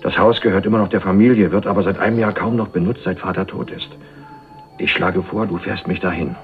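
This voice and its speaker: Radio thriller role, male actor: guarded young heir, low register, offhand delivery, narrow-band sound. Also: steady tone, a little fast, faint music behind, natural voice. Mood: calm, persuasive. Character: young man, heir to family land, guarded and casual. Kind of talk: radio crime drama acting. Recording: band-limited radio play recording.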